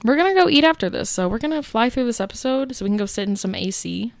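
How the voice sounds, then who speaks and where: A young woman co-hosting a casual podcast, bubbly and jokey, quick to laugh. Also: fairly high pitch, expressive, creaky, no room sound. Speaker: podcast co-host. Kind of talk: casual chat. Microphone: close studio mic.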